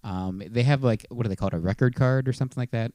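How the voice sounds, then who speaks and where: A man's voice: mid-range voice, fast talker, warm tone, dry recording, studio quality. A man co-hosting a podcast, telling a personal story in a casual, conversational way. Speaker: podcast co-host.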